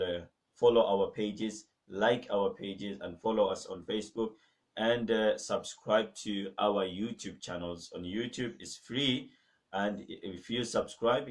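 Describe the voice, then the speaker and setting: Low-voiced man teaching Arabic from afar. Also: far from the mic. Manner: patient, repeats phrases slowly with many okays. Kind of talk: live-streamed beginner language lesson. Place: mosque classroom.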